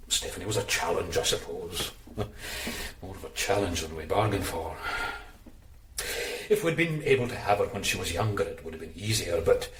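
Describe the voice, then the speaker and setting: Prim disapproving father, lowish voice, rising and falling a lot, roomy sound. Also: lively intonation, normal speed, a bit bright, slight background noise. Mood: defensive. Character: stiff middle-aged adoptive father, cold and defensive. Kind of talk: radio drama.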